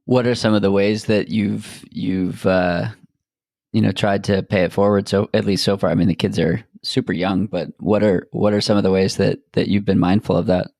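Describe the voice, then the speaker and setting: A man hosting a podcast interview in casual chat, relaxed and halting, with many fillers and restarts. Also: on the low side, gravelly, no room sound, studio quality. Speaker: podcast host.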